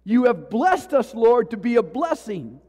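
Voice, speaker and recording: A male pastor preaching a sermon with passion, swinging between loud pleas and hushed tones. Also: very high voice, very animated, measured pace, in a large hall. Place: church sanctuary.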